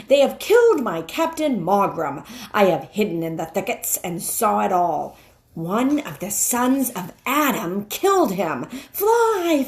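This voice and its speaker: A woman's voice: fairly high pitch, pitched above the performer's own voice, exaggerated voice. A woman voicing a frantic, savage wolf messenger in a storybook, exclaiming with urgency.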